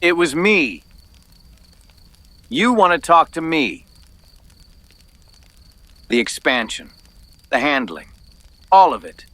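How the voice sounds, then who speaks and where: The cold, defiant man in a noir radio play confessing slowly and forcefully, up close and dry. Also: on the high side, big pitch swings, dry recording, exaggerated voice. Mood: defiant, insistent. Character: defiant man coldly taking the blame for crimes. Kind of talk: audio drama acting.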